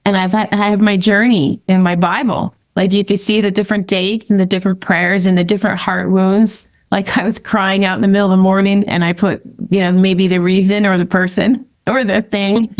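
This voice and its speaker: A woman's voice: medium pitch, steady tone, brisk, few pauses. Affirming woman podcast host. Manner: enthusiastic, affirming. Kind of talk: casual conversational interview.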